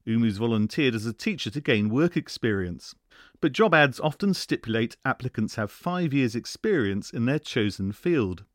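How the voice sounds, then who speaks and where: A man narrating a scripted radio news report in a measured, even delivery. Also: mid-range voice, no room sound, studio quality. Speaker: radio correspondent.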